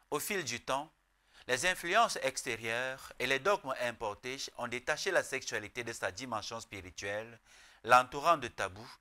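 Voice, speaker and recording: A male programme host with a bright, projecting voice at an average pitch. He reads a scripted broadcast introduction formally, setting out each phrase with solemn deliberation.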